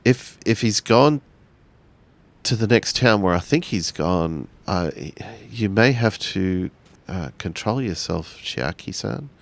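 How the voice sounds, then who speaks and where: A man playing a sober, law-minded samurai in a roleplay game, measured and formal. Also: on the low side, expressive, creaky, heavily acted. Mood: measured, cautioning.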